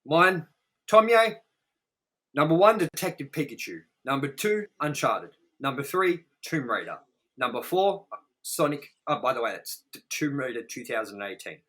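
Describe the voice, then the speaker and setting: Bright-voiced man, blunt and sweary. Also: mid-range voice, big pitch swings, normal speed. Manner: blunt, sweary, exaggerating for effect. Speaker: co-host of a movie review show. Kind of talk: casual opinionated film review chat.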